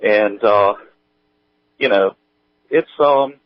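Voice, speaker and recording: A man chatting casually as an interview guest, thinking aloud in a halting, rambling way. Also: fairly low pitch, no echo, muffled recording. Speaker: guest, audio drama writer and producer.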